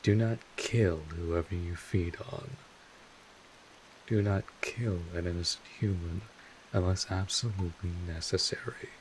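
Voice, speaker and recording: A man playing a world-weary but kindly old vampire mentor in an audio roleplay, speaking informally and in measured phrases with wry asides. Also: low voice, fairly even intonation, a little hiss or hum, rough recording. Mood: grave, instructive. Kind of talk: second-person audio roleplay monologue.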